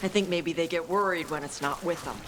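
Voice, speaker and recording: Game role, acted by a woman: fire-breathing horned fighter, low register, matter-of-fact bluntness, clearly noisy backdrop. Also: a little fast, natural voice. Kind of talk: video game voice acting.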